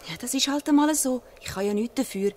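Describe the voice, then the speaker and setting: A woman's voice: sits high, fast, a little mellow. A woman playing an earnest, pleading young girl in a Swiss German radio play.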